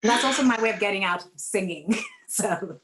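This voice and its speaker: A woman's voice: mid-range voice, brisk, a bit bright, slight background noise. A woman with a mixed Ghanaian, British and American accent, chatting as a guest in a casual podcast interview and often saying um. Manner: chatty, with frequent ums.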